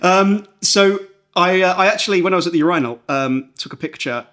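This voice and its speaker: A man's voice: high voice, brisk, fairly bright tone. A man co-hosting a podcast in casual political chat, telling stories and acting out imagined quotes. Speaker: podcast co-host.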